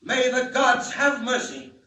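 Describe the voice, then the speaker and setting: High-pitched, earnest alien scientist. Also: male voice, expressive, a little slow, sharp tone, a little hiss or hum, heavily acted. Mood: defiant, bitter. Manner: theatrical, grave. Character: earnest, urgent alien scientist, husband and father. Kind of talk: 1940s radio acting. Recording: old 1940 radio recording, echoey in places.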